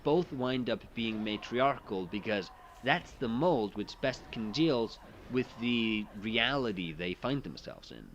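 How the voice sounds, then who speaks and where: A man reading a scripted video essay narration in a measured, formal way. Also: medium pitch, big pitch swings, dull audio.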